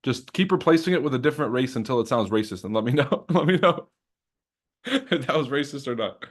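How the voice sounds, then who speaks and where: A man's voice: medium pitch, lively intonation, a little fast. Casual, joking male trading streamer. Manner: loose, joking, swears freely, talks to chat. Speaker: trading livestream host. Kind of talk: casual livestream chat.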